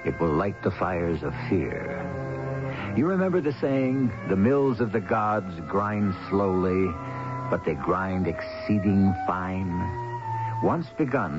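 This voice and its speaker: An actor playing the ominous, portentous host of a radio mystery series, narrating theatrically and deliberately. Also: male voice, low voice. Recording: muffled vintage radio broadcast with music.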